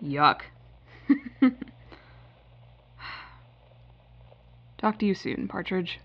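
A woman's voice: medium pitch, very animated, slow pace, gravelly, exaggerated voice. A woman playing a hot-tempered, hurt retail floor manager in a naturalistic audio drama, blunt and sarcastic. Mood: sheepish, fond. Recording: muffled listening-device sound, faint noise.

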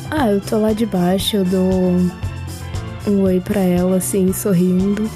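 A woman playing in a tabletop game, describing her character's actions in relaxed, deliberate talk. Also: medium pitch, soft background music. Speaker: player.

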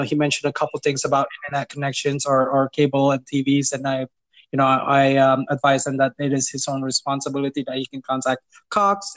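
A man's voice: medium pitch, a bit bright, low-quality recording. A man working in property management, telling stories in a casual interview, hesitant with many fillers and restarts, chuckling now and then. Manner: amused, chuckles while telling.

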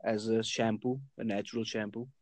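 A man's voice: lowish voice, little pitch movement, brisk, darkish tone, dry recording. A man from Kashmir in casual chat, hesitant with lots of fillers and frequent laughter. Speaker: conversation partner.

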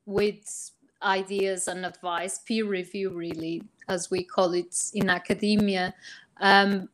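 A woman from Portugal, a research psychologist explaining her work as a guest in a podcast interview, measured and full of ums and rephrasings. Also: medium pitch, poor audio.

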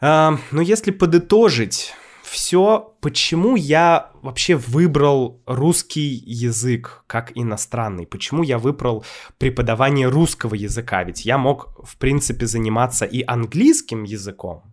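A man who teaches Russian, talking through his story in a casual, thoughtful way with frequent pauses and fillers. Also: medium pitch. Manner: thoughtful, hesitant, with many fillers and restarts. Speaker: Russian language teacher and YouTuber. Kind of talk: casual explanatory monologue.

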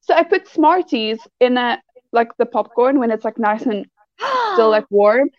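A woman's voice: fairly high pitch, lively intonation, moderate pace, no echo, muffled recording. A woman, a guest chatting casually and in a bubbly way. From South Africa. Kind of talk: casual chat.

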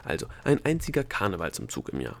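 A man voicing an exasperated gnome in a storybook, loud and emphatic as he pleads and persuades. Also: sits low, wildly swooping pitch, fast talker, creaky, slight background noise, voice pushed down, heavily acted. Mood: pleading. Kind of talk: storybook character dialogue.

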